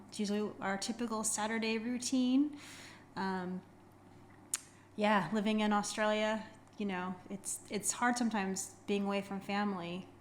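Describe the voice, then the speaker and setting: A woman's voice: medium pitch, slight background noise. A middle-aged American woman chatting casually and informally to camera as a hiking vlogger, rambling a little as she goes. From United States.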